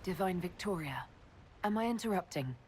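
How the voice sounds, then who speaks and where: Woman acting diplomatic female commander, composed, polite, slightly low voice, fantasy game. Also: animated, a little mellow, a little hiss or hum, natural voice. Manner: polite, measured. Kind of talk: dramatic video game cutscene acting.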